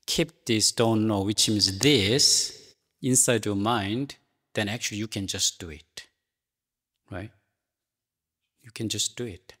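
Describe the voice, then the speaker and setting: Slow, halting Zen teacher, man. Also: fairly low pitch, fairly bright tone. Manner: halting, many restarts and fillers, non-native English. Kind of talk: dharma talk answering a question. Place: meditation hall.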